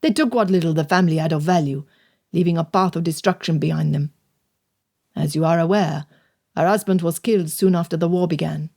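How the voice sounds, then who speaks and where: A burly older man of the village, blunt and solemn, put on by a woman reading a storybook. His voice sits well below the narration, heavy and deliberate, with no flourish. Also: low voice, gravelly, a little mellow, voice pushed down. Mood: grave.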